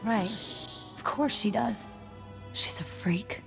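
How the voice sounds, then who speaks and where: A tough female outlaw on the run, with a medium-pitched voice. She talks bluntly, with streetwise wryness, in video game dialogue. Music plays faintly behind her. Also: slow pace, creaky. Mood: resigned, blunt.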